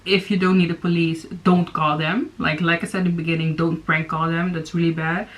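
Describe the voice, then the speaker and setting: A woman's voice: lowish voice, flat delivery, brisk. Hesitant young woman reacting on camera. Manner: hesitant, many fillers and restarts. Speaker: YouTube reaction host. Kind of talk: casual reaction commentary.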